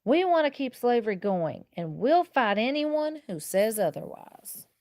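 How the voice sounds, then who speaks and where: A woman voicing a hostile, indignant bully in a children's storybook, loud and challenging. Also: fairly high pitch, sing-song, measured pace, no echo, clean studio sound, pitched above the performer's own voice. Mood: defiant.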